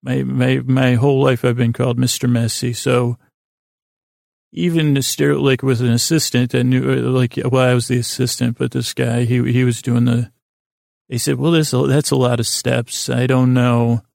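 An American man hosting a podcast in a rambling, halting bedtime monologue, meandering through tangents and repeating himself in a creaky voice. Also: mid-range voice, level tone, darkish tone, dry recording, clean studio sound. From United States. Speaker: podcast host.

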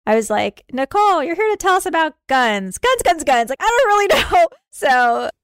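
A woman's voice: sits high, big pitch swings, moderate pace, dry recording, clean studio sound. A woman hosting a podcast in an informal, chatty and self-deprecating way. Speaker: podcast host. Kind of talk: chatty podcast intro and interview.

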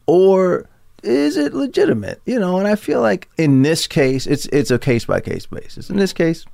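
A man in his fifties with a gravelly, fry-heavy voice, talking candidly about his own self-doubt. He is self-deprecating and drops into mocking voices for his inner critic. The sound is dry and close. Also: sits high, sing-song, moderate pace, dark tone, no echo. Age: 50s. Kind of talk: candid casual conversation.

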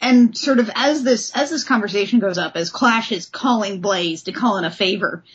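A woman's voice: mid-range voice, moderate pace, muffled recording. A woman co-hosting a podcast, chatting casually and enthusiastically about comics. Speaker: podcast host. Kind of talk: casual comic book discussion.

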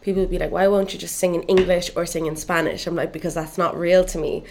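A woman's voice: lowish voice, quick pace, a crowd in the background. A young woman musician from Cuba who grew up in Dublin, chatting casually in an interview with lots of "like" and "um". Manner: relaxed, chatty, full of "like" and "um". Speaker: musician guest.

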